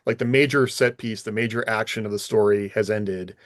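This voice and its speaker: A man's voice: lowish voice, fairly even intonation, a little fast, no room sound, clean studio sound. A man co-hosting a podcast as a writer, discussing craft casually in a tentative, explanatory way.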